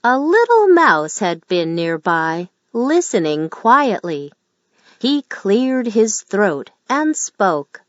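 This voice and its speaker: Slow, expressive woman reading a storybook. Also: medium pitch, dry recording, dull audio. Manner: gentle, measured storytelling. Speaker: storybook reader. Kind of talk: children's read-aloud narration.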